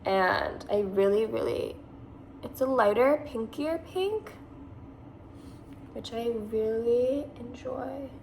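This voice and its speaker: A woman's voice: fairly high pitch, takes it slowly, long pauses, background noise. A woman in her twenties with a Californian accent, chatting casually through a makeup tutorial, rambling and saying "like" often. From Massachusetts, USA. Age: 20s. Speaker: beauty vlogger.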